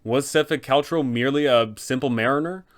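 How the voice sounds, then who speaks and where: A man's voice: mid-range voice, a little fast. A man hosting a guide for game masters, narrating from a script how to play a villain.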